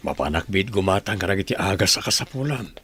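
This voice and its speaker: A middle-aged man acting a remorseful, devoted husband in a radio drama, gravelly, husky and earnest. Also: low voice, lively intonation, quick pace, bright, forceful tone, faint music behind, exaggerated voice. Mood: earnest, grateful.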